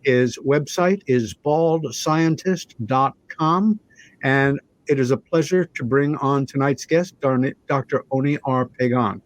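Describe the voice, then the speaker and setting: A man hosting a talk show, speaking in a measured, deliberate, conversational way. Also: mid-range voice, dry recording. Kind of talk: conversational show introduction and interviewing.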